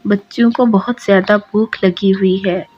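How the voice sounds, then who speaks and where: A woman narrating a scripted children's story in a simple, deliberate way. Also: medium pitch, steady tone, breathy edge. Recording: muffled lo-fi audio, loud music behind.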